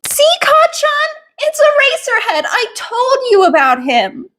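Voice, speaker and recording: Lady doing a timid lil boy, squeaky high voice, eager n polite, stuttery, fanfic audiobook. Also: big pitch swings, a little slow, sharp tone, exaggerated voice. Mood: excited. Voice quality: high, small voice. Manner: stammering.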